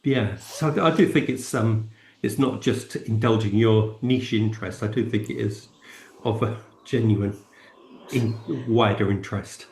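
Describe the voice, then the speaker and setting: A man speaks in a roomy, echoing sound. His voice sits a little low, and he talks casually as a co-host, circling tentatively through his phrases. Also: unhurried, darkish tone. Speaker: podcast co-host. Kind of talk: casual discussion.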